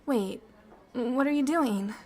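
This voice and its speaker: A high, unhurried voice from a woman acting as a bubbly alien ambassador in an intimate ASMR roleplay. The alien is naive and affectionate, speaking earnestly and expressively, with slightly formal wording. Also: darkish tone. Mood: affectionate, sincere.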